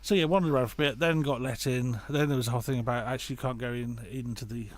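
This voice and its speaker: Male British podcast guest, mid-pitched and quick, loose rambling storyteller who cuts across his own sentences. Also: steady tone. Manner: rambling, self-interrupting. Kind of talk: casual chat, telling an anecdote.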